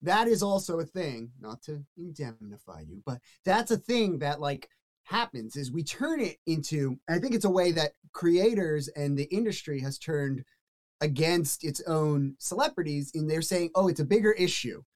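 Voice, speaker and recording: A man with a fairly high-pitched voice, hosting a casual interview podcast and asking rambling, animated questions. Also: moderate pace. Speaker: podcast host.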